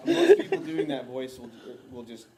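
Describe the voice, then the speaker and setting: A man's voice: extremely high pitch, wildly swooping pitch, moderate pace, vocal fry, crowd noise. A man who coaches a speech team, speaking in a casual interview and praising his students matter-of-factly.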